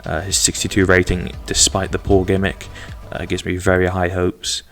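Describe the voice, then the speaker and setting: A man's voice: low voice, monotone, normal speed, creaky, fairly bright tone, soft background music. A man casually recapping a wrestling sim game he is playing, chatty and rambling with self-deprecating asides. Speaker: gaming video host.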